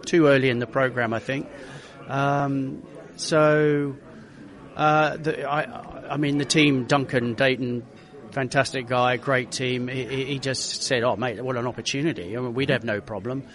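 A man, a racing driver being interviewed, who tells his story in a lively way and acts out quotes with many restarts. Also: medium pitch, measured pace.

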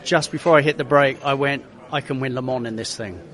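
A man, a racing driver being interviewed, who tells his story in a lively way and acts out quotes with many restarts. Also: medium pitch, fairly quick.

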